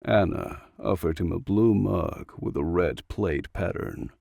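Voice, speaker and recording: A man's voice: low-pitched, measured pace, creaky, dark tone, dry recording, studio quality. A man reading a story aloud as scripted audiobook narration.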